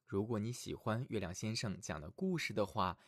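A man narrating fairy tales for children as a storyteller, reading expressively and dramatically from a script with clear phrasing. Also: lowish voice, animated, normal speed, no room sound, studio quality. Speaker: children's storyteller, show host.

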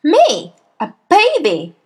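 A huge, imperious headmistress from a children's storybook. She barks and snaps with open contempt for small people, her pitch swinging widely at a slow, deliberate pace. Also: sing-song. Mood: outraged. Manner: barking, snapping, imperious.

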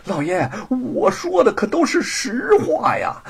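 A man's voice: very high voice, huge pitch swings, measured pace, slightly rough, pitched above the performer's own voice, full character voice. A man voicing a wily, servile thief in an audiobook story, wheedling and protesting. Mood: pleading protest. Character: wily servile male thief, groveling then gloating.

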